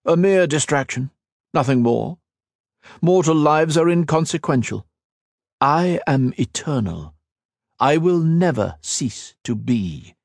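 A man's voice: on the high side, animated, slow pace, gravelly, dry recording, clean studio sound, pitched above the performer's own voice, full character voice. A man voicing an ancient, arrogant cosmic being who talks down to mortals in a lofty, contemptuous way. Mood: disdainful. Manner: haughty, lofty, lecturing.

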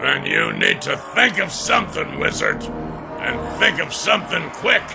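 Man doing a gruff, growling dwarf warrior. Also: a little high, expressive, unhurried, music in the background, voice pushed up, full character voice. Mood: impatient. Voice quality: growling, bellowing. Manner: blunt, combative. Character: gruff, hot-headed, hard-boiled male dwarf warrior.

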